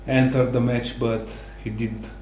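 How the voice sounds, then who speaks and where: A man co-hosting a casual sports discussion, speaking haltingly with non-native English phrasing. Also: medium pitch, level tone, a little mellow, a little hiss or hum. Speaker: co-host. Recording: roomy echo, music underneath.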